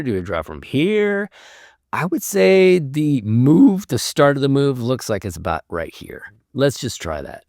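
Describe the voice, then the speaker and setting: A man with a hoarse voice from a cold, hosting a casual show where he talks through trading charts, thinking aloud in an easygoing way. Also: medium pitch, darkish tone, dry recording, clean studio sound. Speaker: host.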